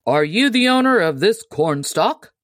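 A woman's voice: medium pitch, animated, measured pace, dry recording, studio quality, pitched above the performer's own voice, close to an everyday voice. A woman voicing a self-important, officious male naval officer in a storybook reading. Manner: pompous, officious.

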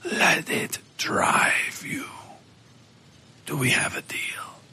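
A man voicing a sinister demonic woman in a horror podcast, urging in a slow, breathy, hissing whisper. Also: heavily acted. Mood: urging, menacing. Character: sinister demonic woman offering a vengeful bargain. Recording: loud music behind.

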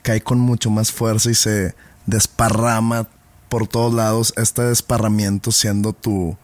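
A man's voice: lowish voice, flat delivery, a little slow, dry recording. A man in a casual podcast chat, playful and wordy, building long joking metaphors. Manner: playful, wordy, teasing jokes.

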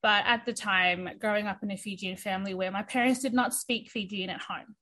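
A woman in her early thirties, chatting openly as a podcast guest. Her pitch sits in the middle and her talk runs loose and friendly, full of "um"s and restarts. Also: fairly even intonation, fairly quick, pushed and bright. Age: 30s. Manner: chatty, many fillers, laughs easily. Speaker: interview guest. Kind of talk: casual interview.

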